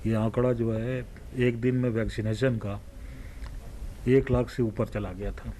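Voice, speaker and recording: A man, a senior official, giving an unscripted comment in a measured, explanatory way. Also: mid-range voice, mellow, poor audio. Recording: faint ambient background noise.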